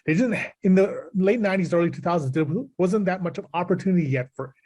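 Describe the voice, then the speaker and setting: A man who runs a film festival and produces films, answering a panel question in a halting way with many fillers. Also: high voice, a little mellow.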